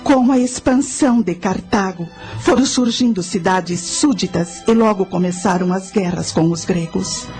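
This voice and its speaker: A solemn priestess from a vision, voiced by an actress in a radio play. She explains history in a measured, helpful way at a middling pitch, with soft music underneath. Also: lightly acted. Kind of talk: radio drama acting.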